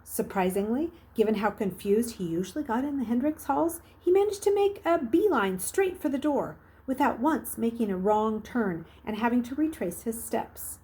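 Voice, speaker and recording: A woman reading a children's novel aloud as a storyteller, narrating expressively, with emphatic swells and hushed phrases. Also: on the high side, expressive, moderate pace, hardly any pauses.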